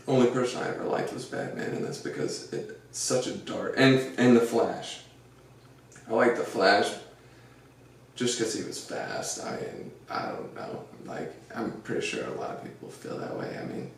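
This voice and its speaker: Gravelly deep-voiced man rambling in an echoey room. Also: a little mellow, far from the mic. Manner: rambling, earnest pep talk with many fillers. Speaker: podcast host. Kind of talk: casual podcast monologue. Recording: echoey room, off-mic, faint noise.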